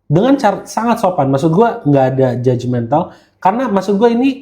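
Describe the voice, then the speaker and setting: A mellow-voiced Indonesian man speaks as a podcast guest. His pitch sits a little high for a man. He talks in a casual, slangy way and drops English phrases into his sentences. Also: big pitch swings, brisk. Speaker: guest gemologist who hosts live streams.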